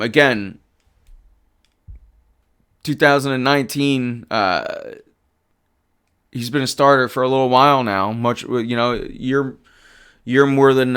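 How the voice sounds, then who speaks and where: A man hosting a live sports talk stream, casually answering chat and arguing football. His voice is hoarse, and he gets emphatic when he runs through stats. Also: mid-range voice. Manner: animated and emphatic, rattling off stats. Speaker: livestream sports show host.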